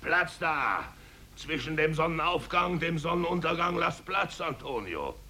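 An actor playing a drunken, bitter painter who shouts and declaims in a 1950s radio drama. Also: male voice, on the high side, animated, measured pace, exaggerated voice. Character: drunken, bloated, bitter middle-aged painter shouting at helper. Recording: noisy old radio recording.

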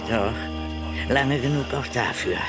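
A man playing a sly, resentful informer in a German radio drama, insinuating and bitter, with a creaky voice. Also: mid-range voice, takes it slowly, natural voice. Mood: resentful, needling. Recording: music behind the voice.

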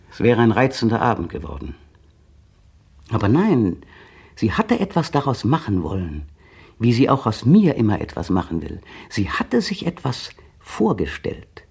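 A man's voice: fairly low pitch, darkish tone, slightly rough, heavily acted. An actor playing a bitter, cold man speaking a precise, brooding inner monologue in a 1960s radio play. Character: bitter, cold adult man resenting his partner. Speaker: male protagonist narrating inner monologue.

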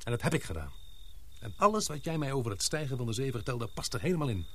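A grave expedition man in a radio play. His voice is a little rough and husky, at a middling pitch. He speaks deliberately and emphatically, as someone suspicious and piecing a plot together. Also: very animated, bright, forceful tone, full character voice. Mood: grave, reasoning. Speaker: radio drama actor. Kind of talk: Dutch radio play acting.

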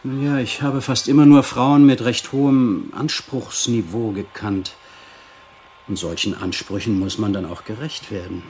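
A man's voice: on the low side, steady tone, a little slow, darkish tone, a little hiss or hum, lightly acted. An actor playing a vain, pampered con man in prison in a radio play, speaking in an affected, genteel and self-pitying way. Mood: wistful, self-justifying.

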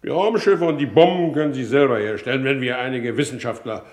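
An actor playing a blunt, sardonic war minister in a 1950s radio drama, with a brisk, declamatory and contemptuous delivery. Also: male voice, medium pitch, lightly acted. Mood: brisk, confident. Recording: roomy vintage radio studio sound.

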